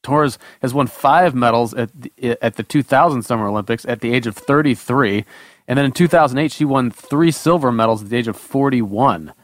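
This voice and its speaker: A man co-hosting a podcast, reading a guest introduction in a steady, slightly halting way. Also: mid-range voice, no echo. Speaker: podcast co-host.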